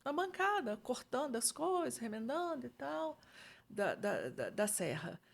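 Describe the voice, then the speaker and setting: A middle-aged woman with a Brazilian Portuguese accent talks through leadership ideas in an easy, reflective tone. Her voice sits a little higher than typical, and she often checks in with her listener as she explains. She sounds like an expert guest in a conversation. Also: very animated, unhurried, gravelly. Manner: reflective, uses frequent "né?" and "entendeu?".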